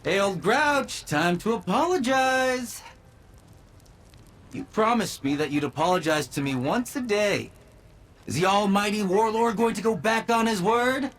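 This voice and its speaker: A woman's voice: medium pitch, expressive, measured pace. A woman playing a bratty, bossy, teasing youngster in a video game, with a cheeky, exaggerated way of talking. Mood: smug teasing. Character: bratty, bossy, teasing youngster who nags a grumpy warlord. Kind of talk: animated video game voice acting.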